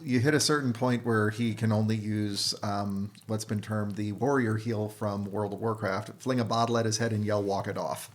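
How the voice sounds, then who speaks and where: A man's voice: fairly low pitch, fairly even intonation, normal speed, hardly any pauses. A man chatting casually as a podcast panelist, with a dry, sarcastic manner. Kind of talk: casual chat.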